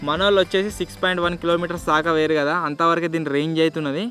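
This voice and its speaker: A man's voice: on the high side, level tone, racing, music in the background. A young man with a Telangana accent presenting a casual vlog in an informal, slangy way. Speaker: video host.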